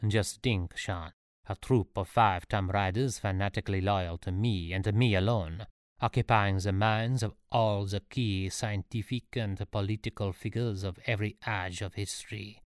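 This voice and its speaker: A man's voice: fairly low pitch, fairly even intonation, moderate pace, no echo, studio quality, lightly acted. A man voicing a grandiose, power-hungry emperor villain in a story, pompous and self-satisfied. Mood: grand, gloating.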